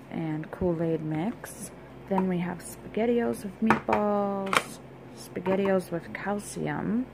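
A woman's voice: medium pitch, gravelly, noisy background. A woman vlogger chatting casually at home, listing groceries with lots of fillers and filming on a handheld phone. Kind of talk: casual grocery haul show-and-tell. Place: home kitchen and dining room, indoors.

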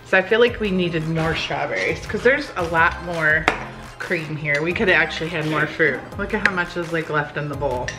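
A woman's voice: low-pitched, normal speed, over music. A woman in her forties chatting informally and giving recipe instructions in a home kitchen, with a teasing, casual manner. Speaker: home cooking vlog host.

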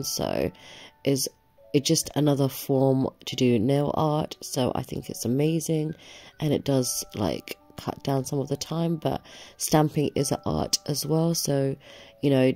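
A young woman with a cold talks in a nasal, sore-throated voice, gushing over everything she shows. Her voice sits low and mellow, with a gravelly edge. Soft music plays faintly beneath her. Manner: gushing, enthusiastic. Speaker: nail vlogger. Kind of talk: voiceover for a product unboxing.

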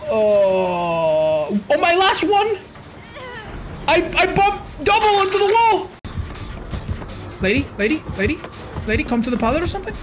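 Very animated male game streamer. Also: extremely high pitch, measured pace, long pauses, slight background noise. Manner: animated, exclamatory, repeats phrases for emphasis. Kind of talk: excitable live gameplay commentary to chat. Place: indoor streaming room.

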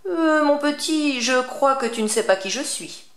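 A woman voicing a cackling old witch who speaks in a theatrical, drawn-out way, in an echoey room. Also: sits high, expressive, pitched above the performer's own voice. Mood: menacing.

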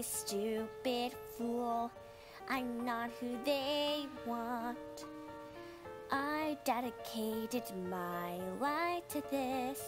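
A woman voices a young cartoon pony who doubts herself yet stays determined. Her high voice moves slowly and lyrically over music.

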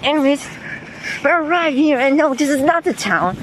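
A man's voice: very high-pitched, lively intonation, moderate pace, background noise. A young man with a German accent, rambling and self-deprecating as he vlogs on a walk, swearing casually. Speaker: vlogger. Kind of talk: casual walking vlog. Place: outdoors on streets and on a bus. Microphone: phone held while walking.

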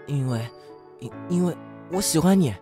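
A man's voice: fairly high pitch, lively intonation, moderate pace, close to an everyday voice. Cheeky, boastful young guy played by a male actor, audio drama, tender underneath, music bed. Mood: hesitant, pleading. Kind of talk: amateur audio drama acting.

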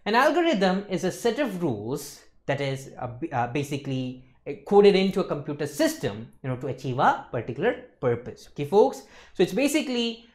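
A man's voice: a little high, very animated, fairly quick, a little mellow. A young man in his twenties giving an exam revision lecture as an instructor, explaining emphatically and often checking that listeners follow. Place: indoor lecture studio with slides.

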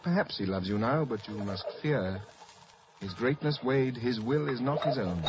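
A man acts an earnest young brother of noble birth, protective and emphatic. His pitch moves widely. The old radio recording is muffled and clearly noisy. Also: expressive, normal speed, close to an everyday voice. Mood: earnest, cautioning. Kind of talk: 1950s radio Shakespeare acting.

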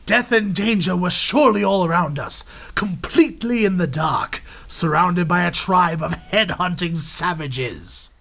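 An actor playing a boastful adventurer hero in a radio serial, bold and commanding, with noise in the background. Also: male voice, high voice, unhurried, vocal fry, bright, forceful tone, full character voice. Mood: dramatic. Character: boastful male adventurer, brash sharpshooter hero. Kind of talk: 1930s radio serial acting.

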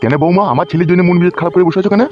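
A man's voice: high voice, very fast, close to an everyday voice. A man voicing an exasperated, foul-mouthed village father-in-law in a comedy cartoon. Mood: questioning. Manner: blustering, exasperated. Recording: muffled audio with music underneath.

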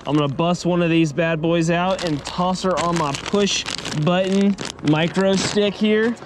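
A man narrating his own fishing challenge from a small boat on a lake, excitable and quick to holler and laugh. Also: high-pitched, noisy background. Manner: excitable, hollers and laughs when a fish bites. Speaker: fishing youtuber. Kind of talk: energetic fishing vlog narration.

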